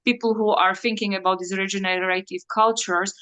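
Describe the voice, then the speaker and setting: A woman whose first language is Slovak, talking in a reflective interview and often pausing and searching for words. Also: mid-range voice, fairly even intonation, fairly bright tone. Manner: halting, searching for words, many fillers. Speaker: interview guest.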